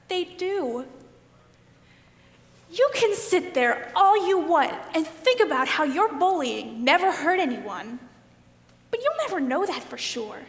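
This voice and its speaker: A woman's voice: high voice, fairly bright tone, exaggerated voice. A woman on stage playing a wounded, defiant juror who survived bullying, speaking with pleading emphasis in a play monologue. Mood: anguished, indignant. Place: live stage performance in a hall. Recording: echoey hall, long reverb.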